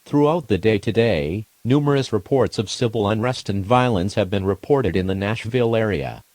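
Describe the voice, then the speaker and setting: A man voicing a formal emergency alert announcer, reading scripted warnings in a measured, impersonal, official manner. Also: lowish voice, a little mellow, no echo, natural voice. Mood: official, grave.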